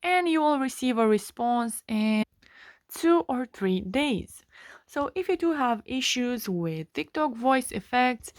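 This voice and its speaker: Slow, high-voiced woman giving a tutorial. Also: lively intonation, dry recording, clean studio sound. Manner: instructional, step by step. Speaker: tutorial host. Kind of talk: scripted how-to narration for a phone screen tutorial.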